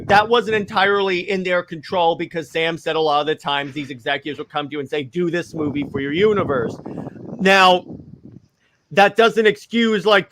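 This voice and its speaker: A man's voice: high voice, fairly bright tone, rough recording. A man co-hosting a casual podcast chat about comics, stammering and restarting often as he argues his point. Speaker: podcast co-host.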